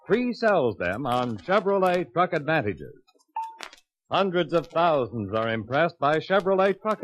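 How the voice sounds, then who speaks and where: An American man narrates a 1950s sales training filmstrip in a higher-pitched, very lively voice. His delivery is formal, emphatic and fully scripted, like an announcer. The old soundtrack has faint noise and clicks. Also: lo-fi sound.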